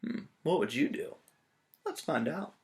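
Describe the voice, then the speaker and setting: A man's voice: on the high side, big pitch swings, unhurried. A man with a teacher's manner reading a children's story aloud, playful and often asking listeners questions.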